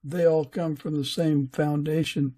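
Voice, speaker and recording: A man hosting a podcast in a casual interview style, talking haltingly with pauses mid-sentence. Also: fairly high pitch, flat delivery, warm tone. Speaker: podcast host.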